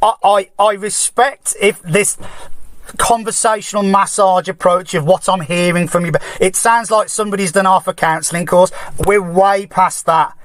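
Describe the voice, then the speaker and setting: A man's voice: high-pitched, steady tone, normal speed, a bit bright, dry recording. A man speaking as a caller on a formal complaint call, insistent and blunt, repeating phrases for emphasis.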